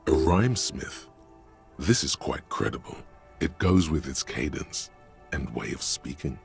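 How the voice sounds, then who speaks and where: A deep gravelly inner voice. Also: male voice, animated, soft background music, heavily acted. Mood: solemn. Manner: measured, solemn, literary. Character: brooding all-knowing inner voice, male. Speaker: game narrator. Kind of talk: scripted game narration.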